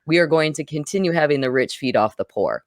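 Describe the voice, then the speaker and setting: A woman's voice: low-pitched, expressive, fairly quick, no echo, studio quality. A woman hosting a casual film discussion, opinionated and given to emphatic repetition. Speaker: podcast host, film reviewer.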